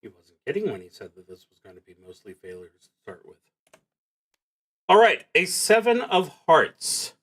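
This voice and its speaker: A man livestreaming a solo roleplaying game, reading prompts and thinking aloud in a halting, dryly wry way. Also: high-pitched, huge pitch swings, a bit bright, slightly rough.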